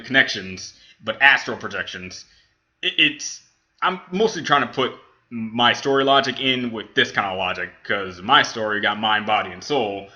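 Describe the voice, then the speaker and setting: A man's voice: mid-range voice, sharp tone, dull audio. A man giving casual off-the-cuff reaction commentary, rambling and stumbling over his words as he thinks aloud. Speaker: reaction video host.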